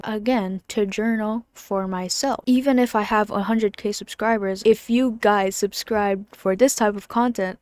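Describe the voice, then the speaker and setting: A woman's voice: medium pitch, dry recording. A young woman from the Philippines, English as her first language, chatting casually as she answers viewer questions, laughing often and sometimes whispering. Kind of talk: casual Q&A chat.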